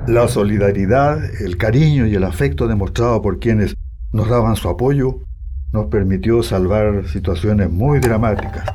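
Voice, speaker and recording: A man's voice: on the low side, moderate pace, dark tone, slight background noise, lightly acted. A man with a Chilean accent playing a survivor of persecution, recounting his memories reflectively in a radio drama. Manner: reflective storytelling.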